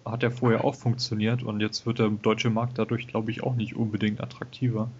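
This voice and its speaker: A man with a somewhat low, husky voice talks through a game demo in relaxed podcast chat. He hesitates often and fills gaps with "ähm". The sound is muffled and lo-fi. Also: a little fast. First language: German. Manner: relaxed, hesitant, many fillers and laughs. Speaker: gaming podcast co-host. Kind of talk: casual game review chat. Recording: dull lo-fi home recording.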